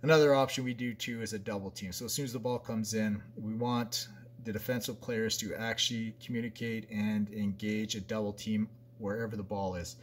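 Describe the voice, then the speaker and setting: A man's voice: on the low side, steady tone, a little fast, a little hiss or hum. A man, a basketball coach, talking through a practice drill at a whiteboard in a plain, conversational way with frequent fillers and restarts. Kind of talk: explaining a drill over a whiteboard diagram.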